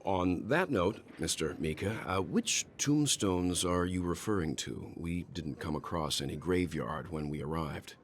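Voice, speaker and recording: A man voicing a dignified middle-aged gentleman in a game, formal and deliberate. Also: sits low, lively intonation, a little hiss or hum. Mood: inquiring. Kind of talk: game voice acting.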